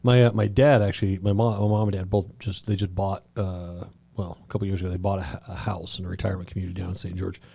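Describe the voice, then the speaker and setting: A man's voice: deep voice, little pitch movement, quick pace, gravelly, few pauses, dark tone, slightly rough, no echo. A middle-aged man hosting a podcast interview, chatty and affirming, often chiming in with agreement. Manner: affirming listener, frequent "yeah" interjections. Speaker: podcast host.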